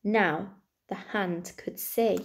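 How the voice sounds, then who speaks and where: A woman reading a storybook to children expressively, like a primary school teacher, with deliberate dramatic pauses and emphasis. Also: medium pitch, fairly even intonation.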